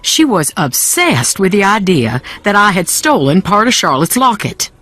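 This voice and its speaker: A woman's voice: medium pitch, big pitch swings, moderate pace, fairly bright tone, no room sound. A woman playing a troubled, haughty woman in a video game, brittle and curt, with a Southern US accent. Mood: bitter.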